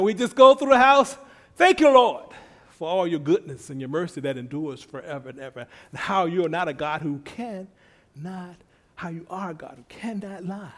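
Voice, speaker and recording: A middle-aged male preacher with a slightly higher voice, talking to his congregation in a folksy, conversational way. His voice is soft when he is relaxed and climbs into excited shouts of praise. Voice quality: soft-spoken at rest. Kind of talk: conversational sermon.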